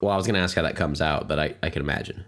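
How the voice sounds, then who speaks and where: A man co-hosting a podcast, trading dry teasing banter and quick laughs. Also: rumbling low, fairly bright tone. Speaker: podcast co-host. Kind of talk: casual chat. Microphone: remote call mic.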